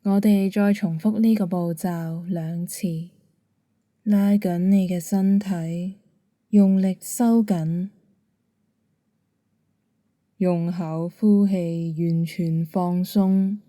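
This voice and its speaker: A woman leads a bedtime meditation with a Hong Kong Cantonese accent. Her voice is slightly low and very mellow, recorded close and dry. She gives each instruction gently and calmly. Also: drawn-out words, long pauses, dry recording. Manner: calm, soothing, gentle instruction. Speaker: meditation guide. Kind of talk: guided bedtime meditation narration.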